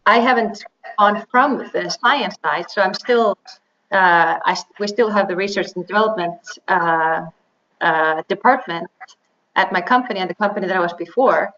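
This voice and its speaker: A woman's voice: medium pitch, fairly bright tone. Give me a woman answering an interview with fillers and restarts, on a slightly muffled recording. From Iceland. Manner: halting, many fillers and restarts. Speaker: interview guest, biotech executive. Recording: slightly dull, faint noise.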